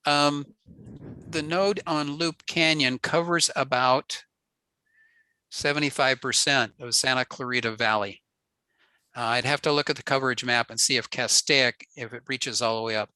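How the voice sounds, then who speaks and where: Bright-voiced man on a ham radio call. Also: mid-range voice, no echo. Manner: relaxed, answers questions, fillers. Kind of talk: casual technical talk on a video call.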